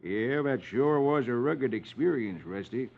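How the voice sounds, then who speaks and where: An actor playing a plain, friendly cowboy horse hand with an American Western accent in a 1950s radio drama. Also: male voice, mid-range voice, lively intonation, measured pace, creaky. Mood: easygoing. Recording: muffled, noisy old radio recording.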